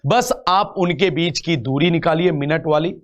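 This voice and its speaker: High-pitched male teacher. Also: a little slow, dull audio. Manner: repeats phrases, asks rhetorical questions. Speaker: reasoning teacher for exam coaching. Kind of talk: live online lecture, explaining step by step.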